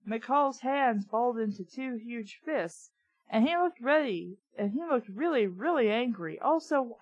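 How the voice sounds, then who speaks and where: Slow-paced woman reading aloud with blunt commentary. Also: on the high side, no echo. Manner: blunt, incredulous asides with swearing. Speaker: host reading and reviewing a children's book. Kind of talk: read-aloud with casual running commentary.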